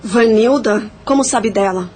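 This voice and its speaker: A woman's voice: on the high side, a little slow, a little mellow, soft background music. An actress playing a bitter, guilty woman's spirit held captive, speaking dramatically in an audio drama. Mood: guarded, confessing.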